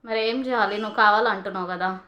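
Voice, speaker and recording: A woman's voice: mid-range voice, steady tone, quick pace, lo-fi sound. Insistent Telangana woman on a casual call. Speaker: vlogger making a prank call to a friend. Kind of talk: casual phone conversation.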